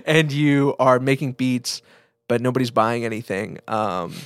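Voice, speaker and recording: Male co-host, average pitch, piecing thoughts together aloud in relaxed podcast conversation, close and dry. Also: measured pace, clean tone, no room sound. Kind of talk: casual podcast chat.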